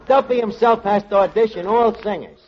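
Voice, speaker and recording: An actor playing a scheming, wisecracking tavern manager in a 1940s radio comedy. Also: male voice, high voice, brisk, a crowd in the background, natural voice. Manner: wisecracking, mangled phrases. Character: fast-talking, scheming tavern manager who mangles his words. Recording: dull, noisy vintage radio recording.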